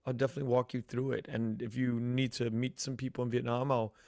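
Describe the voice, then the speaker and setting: An American man hosting a podcast, chatting in a relaxed, friendly way with lots of fillers and restarts. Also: mid-range voice, fast talker, vocal fry, no echo, studio quality. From United States. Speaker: podcast host. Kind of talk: casual interview chat.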